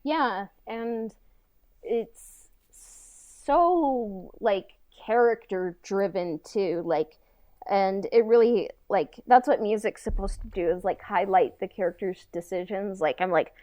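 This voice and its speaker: Casual woman podcaster with mid-pitched voice. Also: takes it slowly, dark tone. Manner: informal, frequent "like". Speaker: podcast co-host. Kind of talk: casual chat.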